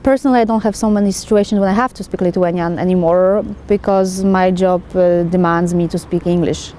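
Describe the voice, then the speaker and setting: A woman's voice: on the low side, steady tone, a little fast, dark tone, slight background noise. A woman living abroad, answering interview questions in a thoughtful, casual way with frequent "like" fillers. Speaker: foreign resident interviewee.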